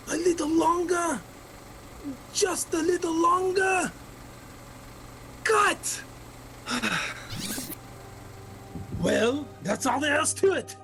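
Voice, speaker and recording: A woman playing a theatrical, commanding film director in a 1940s radio drama, coaxing with drawn-out instructions. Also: high voice, fairly bright tone, slight background noise, exaggerated voice.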